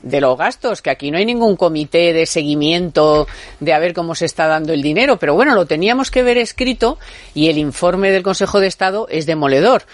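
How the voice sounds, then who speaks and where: A woman's voice: fairly low pitch, lively intonation, a little fast, no echo. A woman hosting a radio talk show from a studio, talking in an emphatic, colloquial and sarcastic way.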